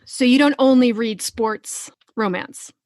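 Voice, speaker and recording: A woman's voice: fairly high pitch, a little slow, a little mellow, dry recording, clean studio sound. A middle-aged woman hosting a casual interview, talking in a frank, playful, colloquial way. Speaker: podcast host. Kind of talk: casual, informal interview chat.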